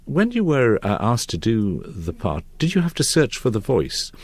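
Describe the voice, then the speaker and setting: A man's voice: medium pitch, huge pitch swings, moderate pace, no room sound. An older British man presenting and interviewing in a radio magazine style. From north of England.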